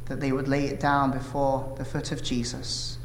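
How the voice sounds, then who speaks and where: A man in his thirties from South Korea, whose first language is Korean, preaching a sermon with an earnest, measured delivery and frequent "uh" fillers. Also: medium pitch, completely flat delivery. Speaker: pastor. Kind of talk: sermon with opening prayer. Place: church sanctuary. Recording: echoey hall reverb, faint room noise.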